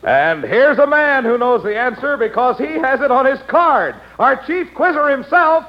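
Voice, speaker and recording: A man's voice: extremely high pitch, lively intonation, moderate pace, muffled recording, music in the background. An American man announcing an old-time radio show on a noisy vintage recording.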